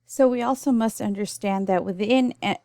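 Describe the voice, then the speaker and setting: A woman's voice: mid-range voice, normal speed, warm tone, no room sound, clean studio sound. A young adult woman, born in El Salvador and raised in the US, teaching a recorded nursing lecture in an explanatory way with frequent ums and restarts. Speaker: nursing instructor.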